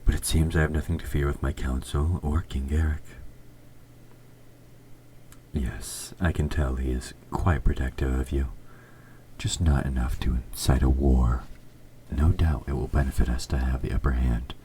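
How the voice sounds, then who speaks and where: A man playing an evil, possessive king in an audio roleplay, speaking formally and with measured, quiet menace, his S sounds sharp. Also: a bass voice, fairly even intonation, a little hiss or hum. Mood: smugly satisfied. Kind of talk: scripted audio roleplay, intimate dramatic monologue.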